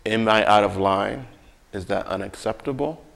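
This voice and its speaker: A man's voice: fairly low pitch, normal speed, creaky, a bit bright. A man in casual, reflective conversation, telling his experiences emphatically and often checking in with rhetorical questions. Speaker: school bus monitor sharing experiences.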